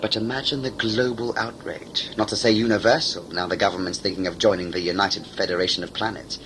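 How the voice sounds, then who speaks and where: A man's voice: lowish voice, steady tone, brisk, background noise, lightly acted. A man playing a smug, talkative villain in a sci-fi audio drama, a covert saboteur who is condescending and mock-polite. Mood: gloating.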